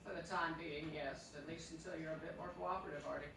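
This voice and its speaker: A man playing a fussy, self-assured, gently bossy master of the house in a live stage radio play. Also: a little high, fairly even intonation, fast, creaky. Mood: unruffled. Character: genial but bossy, unflappable man of the house. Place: theatre stage, live performance. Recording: echoey distant stage, background noise.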